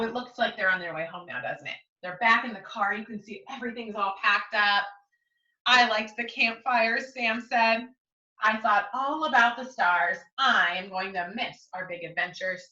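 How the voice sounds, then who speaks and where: A woman teacher reading a picture book to young children in an expressive, coaxing way, often turning to them with questions. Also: fairly high pitch, normal speed. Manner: expressive, coaxing, asks frequent tag questions. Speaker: schoolteacher reading to young pupils. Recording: roomy echo, distant mic, muffled audio.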